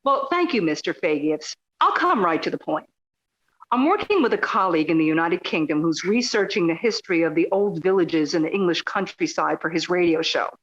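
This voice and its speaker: A woman's voice: fairly low pitch, lively intonation, a little fast, lo-fi sound, natural voice. A woman playing a courteous, persistent radio journalist in an audio drama, articulate and probing. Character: polite, inquisitive, persistent female radio journalist.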